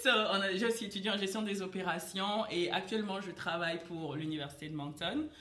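A woman speaks in a bright, sharp tone. She chats casually as a playful, teasing co-host. Her voice rings in an echoey room. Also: mid-range voice, fast talker. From Republic of the Congo. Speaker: podcast co-host. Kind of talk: casual group chat.

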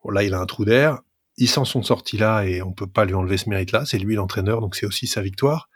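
Low-voiced man talking sport, dry close sound. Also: fast talker, husky, dry recording. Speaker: rugby podcast co-host. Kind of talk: opinionated sports discussion, casual chat.

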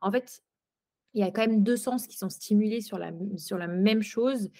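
A woman's voice: mid-range voice, moderate pace, dark tone. A woman co-hosting a casual book podcast, recorded remotely, talking in a reflective, hesitant way with many fillers. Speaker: podcast co-host. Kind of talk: casual chat.

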